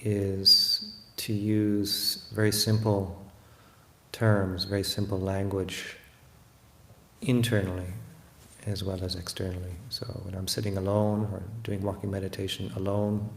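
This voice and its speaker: Slow, low-voiced man guiding meditation. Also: flat delivery, fairly bright tone. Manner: hesitant, unhurried, pausing often to reflect. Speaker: meditation teacher, Buddhist monk. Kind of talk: guided meditation talk. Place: room in a monastery. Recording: roomy echo, faint background noise.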